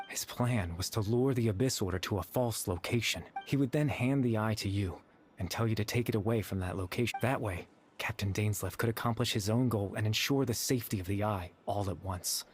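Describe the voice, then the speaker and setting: An actor playing a gentle, sorrowful young man in a video game, speaking softly and hesitantly with wistful remorse. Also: on the low side, a little fast, close to an everyday voice. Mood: calm, explaining. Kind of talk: dramatic video game dialogue.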